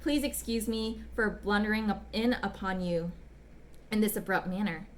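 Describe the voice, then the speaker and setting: A woman voicing a smitten, bashful gentleman writing polite, apologetic, ardent love letters in a dramatic reading. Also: medium pitch, normal speed, a little hiss or hum, close to an everyday voice. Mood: polite, formal. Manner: polite, apologetic, ardent, old-fashioned phrasing. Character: smitten, bashful, gushing gentleman letter writer back from China.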